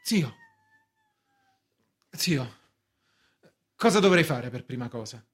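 An actor playing an evasive, composed young bank official in a radio drama, formal, measured and defensive, with a husky voice. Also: male voice, mid-range voice, animated. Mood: uneasy.

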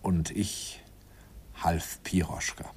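Wistful memories, low and soft. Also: male voice, little pitch movement, slow pace, creaky, exaggerated voice. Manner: soft, wistful, intimate, sometimes whispered. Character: grown man fondly recalling his youth. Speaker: narrator. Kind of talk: 1950s radio play narration.

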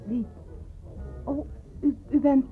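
A woman's voice: high-pitched, fairly even intonation, takes it slowly, faint music behind. A woman playing an anxious, unhappy but defiant amateur sleuth in a radio play, hesitant and defensive. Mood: startled, defensive. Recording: tinny, phone-like radio play recording.

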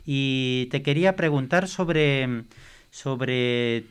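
A man's voice: mid-range voice, very slow, clear, resonant tone. A man interviewing a guest on the radio, hesitating with many fillers as he asks.

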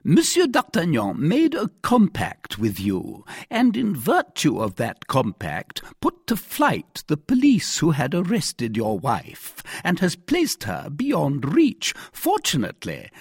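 A pompous, easily flustered police commissary from an audiobook. The voice is pitched very high and swings wildly, with a husky edge. He questions imperiously and indignantly, drawing out his words. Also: wildly swooping pitch, slow, few pauses, dry recording, clean studio sound, exaggerated voice. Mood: stern, accusing. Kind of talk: dramatized audiobook reading.

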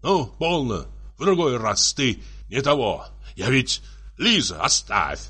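A man's voice: mid-range voice, sing-song, slow pace, pushed and bright, slightly rough, pitched above the performer's own voice, heavily acted. A man voicing a tipsy, jealous, bullying husband who scolds in sputtering, tearful exclamations for a story. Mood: pleading, warning. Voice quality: muffled, tearful, raised voice.

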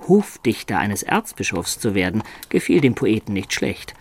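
A man's voice: lowish voice, sing-song, normal speed, slightly rough, exaggerated voice. An actor playing a cheerful, sly, self-satisfied medieval liar who tells his tale in a playful, theatrical way for a German radio play. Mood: wry narrating.

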